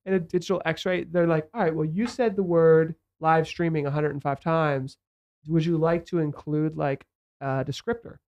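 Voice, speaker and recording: A man's voice: a little high, steady tone, normal speed, a little mellow, highs cut off. A man hosting a casual studio podcast, nasal, rambling with lots of fillers and acted-out quotes. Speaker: host.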